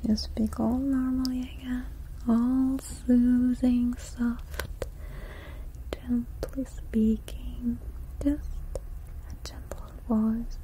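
A young woman whispering softly into two sensitive foam-covered microphones during an ASMR live stream in a small indoor room. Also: on the high side, a little hiss or hum, low-quality recording. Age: young adult. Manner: soft unvoiced whispering close to the mics. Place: small indoor room before a blue backdrop. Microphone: two foam-covered shotgun mics right at her face.

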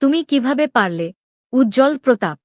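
Woman acting proud, hurt young princess, higher-pitched. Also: animated, moderate pace, no echo, clean studio sound. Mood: anguished, accusing. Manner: demanding, emotional questioning. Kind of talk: dramatic Bengali animated story dialogue.

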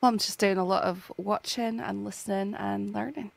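A woman's voice: medium pitch, steady tone, moderate pace, gravelly. A woman with a Scottish accent playing a police cadet, speaking politely and hesitantly with fillers.

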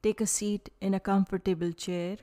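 A woman leading a guided yoga nidra meditation, speaking calmly and soothingly in short phrases with long pauses. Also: mid-range voice.

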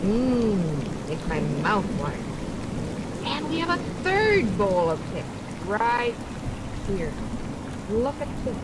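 A commercial presenter giving a gentle, coaxing show-and-tell to children, full of rhetorical questions, in an old-fashioned style. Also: mid-range voice, sing-song, slow, heavy background noise. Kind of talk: vintage cereal demonstration addressed to children. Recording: old broadcast under loud rain and thunder. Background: heavy rain and thunder.

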